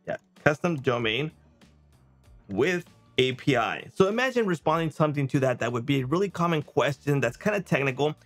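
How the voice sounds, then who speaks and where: Casual man demoing software over background music. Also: mid-range voice. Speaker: presenter of software review videos. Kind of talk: casual software demo to camera. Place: small indoor home studio.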